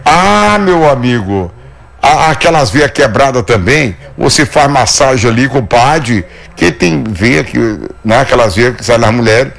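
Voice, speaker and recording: A man hosting a live show, pitching a product in a folksy, chatty, sing-song patter. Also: lively intonation, a little slow, gravelly, a bit bright. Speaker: show host.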